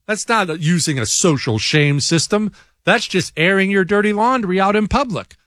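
Blunt, lively-voiced male talk host. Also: sits high, moderate pace, dry recording, studio quality. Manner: blunt, sarcastic, emphatic. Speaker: talk show host reading listener emails. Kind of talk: opinionated monologue.

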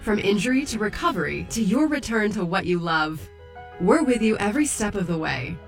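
Woman doing a radio ad read, smooth and even, light music under. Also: medium pitch, brisk. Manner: polished, even delivery. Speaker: ad announcer.

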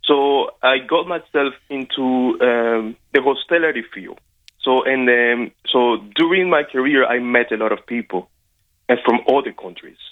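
A man's voice: mid-range voice, moderate pace. A man from Cuba with a Cuban Spanish accent, a Spanish speaker, talking as an interview guest, hesitant with many fillers and restarts. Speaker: guest, wrestling coach. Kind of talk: casual interview over a call. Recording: tinny phone-like line.

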